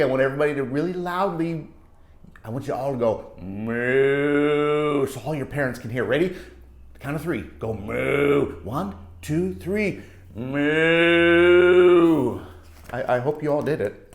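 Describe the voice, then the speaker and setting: A woman's voice: rumbling low, a little mellow. A woman reading a picture book aloud to children as a storytime teacher, playful and dramatic, with chatty asides. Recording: roomy echo.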